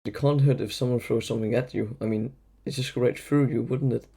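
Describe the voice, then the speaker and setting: A man plays a wry schoolboy sidekick in an audio drama. His voice is gravelly and mellow, and he sounds blunt and incredulous. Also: medium pitch, steady tone, brisk. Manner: sarcastic, incredulous.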